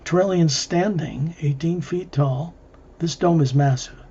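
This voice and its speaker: Male guided-visualization narrator, mellow and breathy, halting phrase-by-phrase delivery, muffled lo-fi sound. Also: on the high side. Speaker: guided meditation leader.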